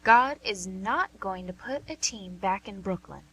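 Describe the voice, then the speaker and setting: A woman's voice: medium pitch, expressive, measured pace, a bit bright, slightly rough, no room sound, exaggerated voice, low-quality recording. A woman playing a plain-spoken, caring but pushy nurse in a radio play, persuasive and folksy. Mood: insistent, exasperated. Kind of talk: amateur radio theatre acting.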